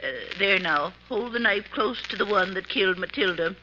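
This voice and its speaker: An actress playing a determined, shrewd amateur sleuth who gives orders with commanding urgency in a 1950s radio mystery. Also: female voice, mid-range voice, normal speed, lightly acted. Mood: urgent. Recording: muffled, faintly hissy 1950s radio recording.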